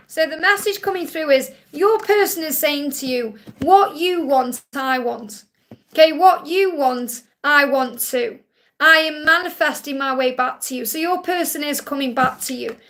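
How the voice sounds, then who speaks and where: A woman in her forties with a high, light voice, talking straight to her viewers on a live stream. She reads out their messages and answers with gentle, reassuring readings, drifting into a sing-song lilt on the affirmations. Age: 40s. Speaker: spiritual reader hosting a live Q&A.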